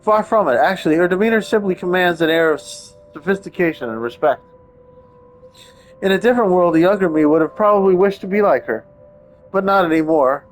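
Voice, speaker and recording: A man doing casual let's-play commentary and reading narration aloud, with wry, sarcastic asides. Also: high voice. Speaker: game streamer playing a visual novel. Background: game background music.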